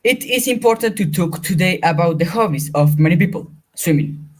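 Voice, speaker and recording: A male field reporter with a Colombian Spanish accent reads his report in a stiff, careful way. His voice is pitched high for a man. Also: expressive, fairly quick. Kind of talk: school-project news report.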